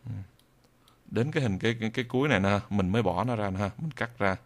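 A man giving an unscripted software tutorial in a hesitant, halting way, often repeating phrases. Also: lowish voice. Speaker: video tutorial presenter.

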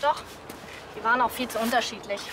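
An actress playing a matter-of-fact, gossipy stable worker in a TV crime comedy. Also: female voice, a little high, steady tone, fast, background noise, close to an everyday voice. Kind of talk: television crime comedy acting.

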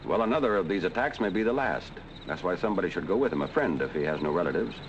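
An actor playing a grave, authoritative family doctor with clipped delivery in a 1940s film noir. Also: male voice, low voice, animated, fast talker, highs cut off, a little hiss or hum. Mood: grave, firm. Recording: old 1940s film soundtrack with music.

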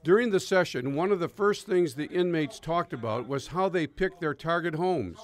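A man narrating a local news segment in an even, measured reporting style. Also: on the high side.

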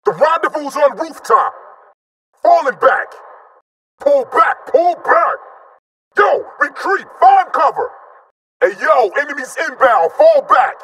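A man's voice: very high voice, sing-song, takes it slowly, pauses often, fairly bright tone, raised voice, full character voice. An actor playing a brash, cocky robot jet squadron leader in a video game, a man barking slangy, swaggering, punchy combat callouts. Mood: alarmed, urgent. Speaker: squad leader calling battle orders.

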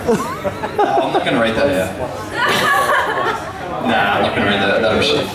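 A man's voice: fairly low pitch, wildly swooping pitch, normal speed. A young man giving a joking, offhand best man speech from notes into a handheld mic at a wedding. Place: large hall at a wedding reception. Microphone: handheld mic through speakers. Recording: echoey hall with crowd noise, from far off. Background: laughing guests.

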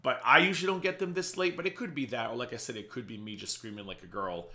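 A man in his thirties hosting a casual reaction video from a small home office, slightly raspy, chatty and sarcastic. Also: medium pitch, bright, forceful tone. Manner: chatty and sarcastic, quick asides. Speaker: reaction channel host.

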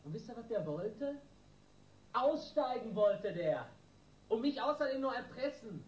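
An actor playing a hot-tempered small-time gang boss in a radio crime drama, coarse and threatening in street slang. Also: male voice, extremely high pitch, sing-song, measured pace, heavily acted. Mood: boastful, menacing. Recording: roomy, distant, noisy radio drama.

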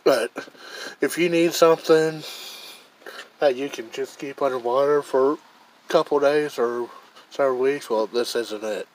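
A man's voice: medium pitch, a little slow, pauses often, poor audio. A man casually reviewing gear outdoors on a deck, talking in a rambling, plainspoken way as he films with a handheld camera. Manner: rambling, plainspoken, thinks aloud.

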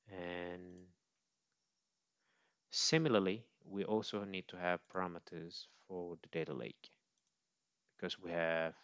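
Deep-voiced man giving a software tutorial. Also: gravelly, no echo, lo-fi sound. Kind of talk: informal step-by-step screen demo narration.

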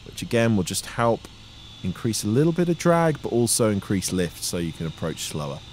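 A man in his thirties giving measured, instructional vlog narration as a student pilot. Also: fairly low pitch. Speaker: student microlight pilot, vlogger. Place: small microlight cockpit in flight. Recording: steady engine and cockpit noise.

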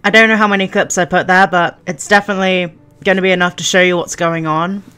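A woman's voice: on the low side, fairly even intonation, fast talker, bright, forceful tone, clean tone, faint music behind. A woman YouTuber giving casual, rambling commentary in an informal way.

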